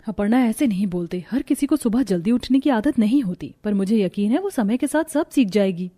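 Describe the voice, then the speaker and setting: A woman's voice: medium pitch, expressive, fairly quick, lightly acted. A woman voicing a responsible, protective elder sister-in-law who instructs the family in a cartoon story. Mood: gently correcting. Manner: gently instructing.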